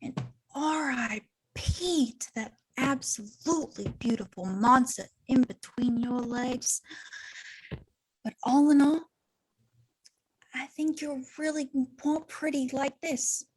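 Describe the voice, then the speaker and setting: A woman's voice: high-pitched, takes it slowly, a little mellow, husky, exaggerated voice, poor audio. A woman playing a flighty, chatty, unhinged young clown villainess in an amateur table read. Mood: wounded, unhinged.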